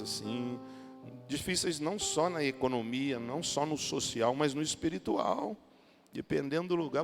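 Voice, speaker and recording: A man's voice: on the high side, gravelly. A man with a Brazilian Portuguese accent, speaking as a pastor in a colloquial, joking way as he introduces a guest to a church. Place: church hall. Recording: reverberant hall with music.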